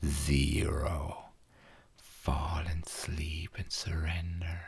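A man guiding a hypnosis induction in a soft, repetitive way, often dropping to a whisper. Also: very deep voice, little pitch movement, very slow, vocal fry, slightly rough.

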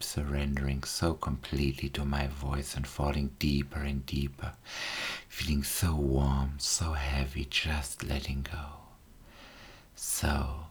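Deep, breathy whispering male hypnotist. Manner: slow, soothing, repetitive suggestions. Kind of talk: ASMR hypnosis.

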